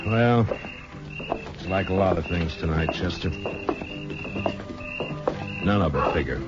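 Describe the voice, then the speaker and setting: An actor playing a terse, stern middle-aged American frontier marshal in a 1950s radio western. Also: male voice, low voice, expressive, takes it slowly, loud music behind the voice. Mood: grim, wary. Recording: muffled, noisy 1950s radio recording.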